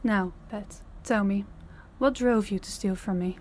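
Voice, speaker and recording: A woman playing a stern, condescending female mafia boss in an intimate audio roleplay, giving measured orders directly to the listener. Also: mid-range voice, a little mellow, a little hiss or hum, close to an everyday voice. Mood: cold, condescending.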